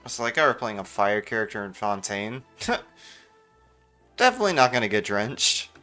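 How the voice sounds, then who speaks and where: A man's voice: on the low side, sing-song, normal speed, pushed and bright. A man giving casual, offhand live commentary as he streams a game, often talking to himself. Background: game music.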